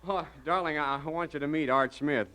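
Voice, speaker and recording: A man's voice: on the high side, brisk, pushed and bright. An actor playing a nervous married man in a mid-century radio crime drama, stammering and over-eager as he hides his trouble. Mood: forced cheer.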